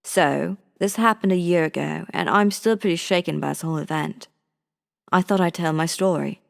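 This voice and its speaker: A woman's voice: lowish voice, moderate pace, gravelly. A woman reading a scripted first-person scary story as a narrator. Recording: long reverb on the voice.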